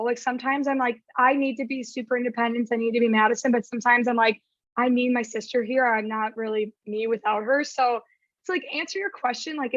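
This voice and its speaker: A young woman with a raspy voice, chatting casually as a podcast guest and saying "like" a lot. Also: fairly high pitch, no echo, lo-fi sound. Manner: chatty, heavy use of "like". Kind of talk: casual chat.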